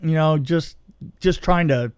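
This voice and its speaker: A man telling a story casually on a podcast, in a halting, rambling way that often restarts mid-sentence. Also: fairly high pitch, a little mellow, clear, resonant tone, no room sound, clean studio sound. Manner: halting, rambling, self-interrupting delivery. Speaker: film special effects technician telling a story.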